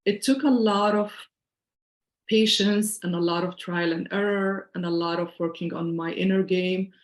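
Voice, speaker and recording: A woman's voice: lowish voice, fairly even intonation, normal speed, echoey room. A middle-aged woman from Syria with a Syrian accent, presenting an online financial wellness workshop in an earnest, explanatory, conversational way over a video call.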